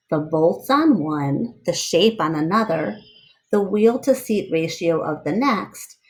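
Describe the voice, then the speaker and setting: A woman reading a children's picture book aloud expressively, with deliberate, dramatic phrasing and asides to young listeners. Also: on the high side, poor audio. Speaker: read-aloud storyteller.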